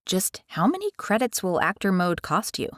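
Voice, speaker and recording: Brief: animated American woman, dry close sound, tutorial host. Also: medium pitch, normal speed, no room sound. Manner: chatty, animated, curious. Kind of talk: casual explainer. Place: carpeted bedroom. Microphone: headset mic.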